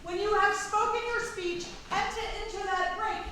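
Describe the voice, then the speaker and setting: Woman as a very high-pitched, fussy play director. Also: fairly even intonation, moderate pace, sharp tone. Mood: eager organizing. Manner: exasperated, instructing. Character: fussy, bossy leader of amateur players. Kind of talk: staged reading of Shakespeare comedy. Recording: roomy echoing hall, distant mic, faint noise.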